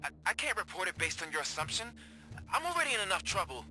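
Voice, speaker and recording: An actor playing a worried, exasperated rookie police officer in a video game, giving anxious cautions. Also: male voice, sits high, sing-song, fast, heavily acted. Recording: loud music under the voice.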